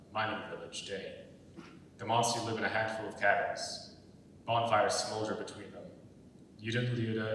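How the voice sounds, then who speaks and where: A young man with a fairly low voice reads stage directions as scripted narration. He sounds distant in a very echoey room, over faint rumbling noise. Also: a bit bright. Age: young adult. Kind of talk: scripted narration at a dramatic reading.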